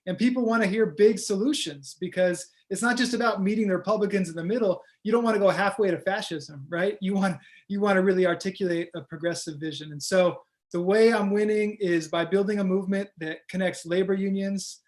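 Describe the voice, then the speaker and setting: A man, a candidate, giving energetic, rallying answers in an interview over a video call. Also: high-pitched, brisk. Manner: energetic, rallying, stump-speech delivery. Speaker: congressional candidate.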